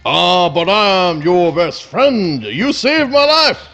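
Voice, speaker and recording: A man's voice: high voice, big pitch swings, slow pace, a bit bright, soft background music, heavily acted. A man voicing an overeager, fawning hound dog who talks in florid, grandiose repetitions in a live radio play. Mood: fawning devotion. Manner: florid, grandiose, repeats himself.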